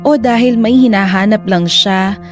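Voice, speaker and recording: An actress playing a wounded, reflective lover, narrating a breakup in a measured, sorrowful way for a radio drama. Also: female voice, medium pitch, music in the background, lightly acted.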